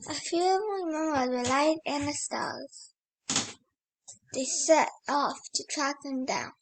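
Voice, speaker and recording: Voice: high-pitched, slow pace, background noise. A child hosting a read-aloud, reading a picture book and breaking off to exclaim over the pictures with wonder.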